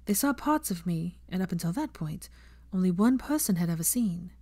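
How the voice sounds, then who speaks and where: A woman's voice: fairly low pitch, expressive, normal speed, warm tone, no echo. A woman voicing a self-assured young witch who is cheerful, earnest and reassuring, in a story reading.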